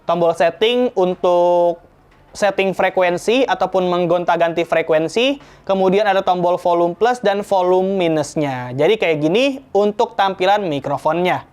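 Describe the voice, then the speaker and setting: A man's voice: high voice, unhurried, a little mellow, smooth. A man presenting a casual promotional product review, chatting to viewers like friends.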